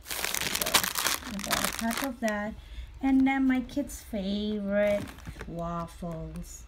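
A mother chatting casually off camera while showing her grocery haul, slow and full of ums. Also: medium pitch, noisy background. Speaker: vlogger, mother. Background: crinkling packaging and clicks.

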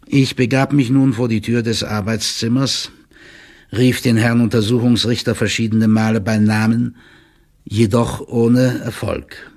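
A man playing a fussy, deferential court clerk, reading out a formal report in measured tones in an audio drama. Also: medium pitch, fairly even intonation, darkish tone. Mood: formal, concerned. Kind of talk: audio drama, letter read as monologue.

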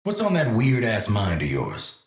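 Cocky American assassin, lively pitch. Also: male voice, a little slow, echoey room, exaggerated voice. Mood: wry, needling. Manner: casual, slangy, wry. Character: cocky, world-weary male assassin, gruff team player. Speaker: video game voice actor. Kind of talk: video game character voice lines.

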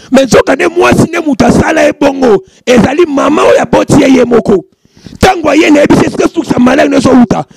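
A man's voice: very high voice, gravelly, a little mellow, slightly rough, no echo. A man in his thirties, a prophet hosting a studio show, preaching and calling out over a handheld microphone. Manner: chanting exclamations, mixing French and Lingala. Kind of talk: preaching and reading out prayer messages. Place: studio with warm lamp backdrop.

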